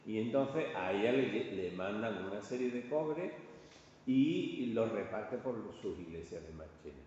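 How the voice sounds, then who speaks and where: Man, Spanish accent from Spain, informal lecturer, halting conversational delivery, heavy room echo, dull sound. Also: on the low side, animated, darkish tone. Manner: halting, conversational, often checking with listeners. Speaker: art history lecturer. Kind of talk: informal illustrated lecture to an audience. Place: large reverberant indoor room. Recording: echoey hall, distant muffled sound, faint noise.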